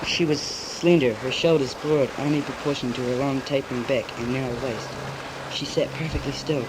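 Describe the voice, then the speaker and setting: A man's voice: mid-range voice, fairly even intonation, moderate pace, lightly acted. A teenage boy playing a weary, solitary man who tells his story in scripted first-person narration on a noisy amateur cassette recording. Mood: dreamy, reflective. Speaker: narrator and lead in a school drama.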